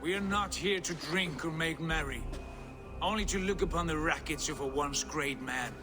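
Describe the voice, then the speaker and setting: Arrogant Viking prince, video game drama, male actor: lighter-pitched, declamatory, embittered visionary, strong music underneath. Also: normal speed, lightly acted. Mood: cold scorn. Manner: haughty, declamatory. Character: proud, bitter, visionary Viking prince scorning his father. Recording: music behind the voice.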